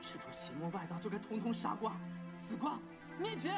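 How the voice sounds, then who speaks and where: A furious, bitter young swordsman in an animated series. He shouts his lines with venom and a wide, swinging pitch. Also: a little fast, gravelly, over music, exaggerated voice. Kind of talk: Chinese animated series dub.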